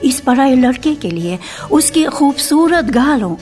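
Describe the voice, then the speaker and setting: A woman narrates a scripted radio drama with expressive, literary delivery. Her voice is high and lively in pitch, moving at a slow pace. It is an archival broadcast recording with music underneath.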